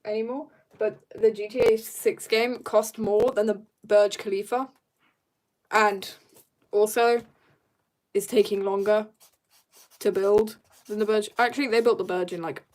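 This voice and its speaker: A woman's voice: mid-range voice, steady tone, measured pace, long pauses, darkish tone. A young woman chatting casually on an art livestream, rambling and slangy as she jumps between asides in a giggly way. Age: teenager or young adult. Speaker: streamer drawing and chatting.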